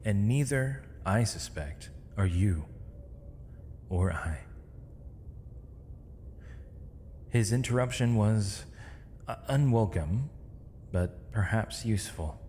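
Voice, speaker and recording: A man playing a gentle, weary, eloquent imprisoned mage in an ASMR roleplay, speaking in measured, formal, poetic phrases with thoughtful pauses. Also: on the low side, animated, a little hiss or hum. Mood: calm, philosophical. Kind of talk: ASMR roleplay, intimate dramatic monologue. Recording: long reverb, faint night insect ambience.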